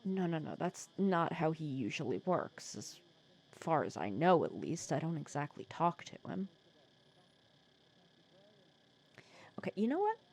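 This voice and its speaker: A woman playing a soft, low, sly villainess. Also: sing-song, moderate pace, gravelly, breathy edge, exaggerated voice. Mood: resolute. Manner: casual, teasing, persuasive, sardonic. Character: sly female supervillain, secretly caring, courting her hero nemesis. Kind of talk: intimate ASMR audio roleplay.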